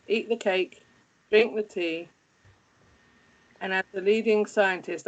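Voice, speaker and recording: A woman's voice: fairly low pitch, natural voice, low-quality recording. A woman acting a wry, loving, no-nonsense middle-aged scientist mother in a screenplay table read, teasing and emphatic.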